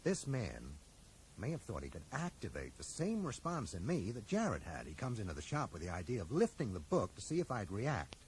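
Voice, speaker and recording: An actor playing a level-headed, earnest bookshop owner in a radio drama, explaining things in a measured way. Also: male voice, fairly low pitch, wildly swooping pitch, darkish tone, rough recording. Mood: earnest, reflective. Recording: mild hiss, 1980s radio drama.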